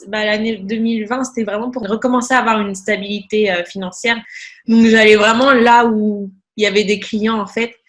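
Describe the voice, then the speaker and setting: A woman in her twenties speaks in an informal interview. She sounds like a freelancer introducing herself, with a medium pitch. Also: a bit bright. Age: 20s. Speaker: freelance photographer being interviewed.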